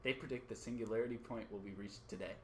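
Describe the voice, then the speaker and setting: An earnest male radio co-host acted by a man talks in a mid-pitched voice with a placating, conciliatory manner. It sounds like an amateur radio play, with faint background noise. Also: fairly even intonation, fast, darkish tone, lightly acted. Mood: presenting.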